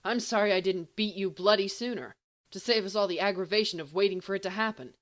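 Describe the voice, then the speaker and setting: A woman's voice: mid-range voice, voice pushed up, lightly acted. A woman playing an exasperated, bookish teenage schoolboy in an audiobook, grumbling and dryly sardonic. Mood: wry. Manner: grumbling, sardonic, halting when flustered.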